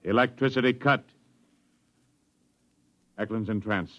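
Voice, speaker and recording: A man's voice: on the low side, measured pace. An actor playing a dutiful male ship's officer, making formal procedural call-outs in 1950s radio drama style. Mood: formal, alert. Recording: dull, noisy vintage radio recording.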